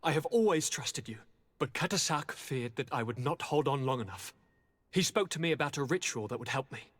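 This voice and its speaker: An actor playing a cheeky, blithe young highborn gentleman in a fantasy game, with a breathy voice and wry, courtly, theatrical manner. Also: expressive, brisk. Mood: wry, affectionate. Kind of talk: dramatic fantasy game acting.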